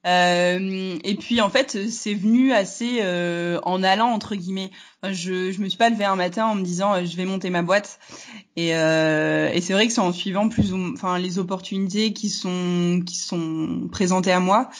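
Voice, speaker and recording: A woman's voice: lowish voice, steady tone, normal speed. A young woman from northern France with a slight northern French accent, answering interview questions in a hesitant, casual way, with many fillers and easy laughter. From Hauts-de-France, northern France. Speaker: guest entrepreneur.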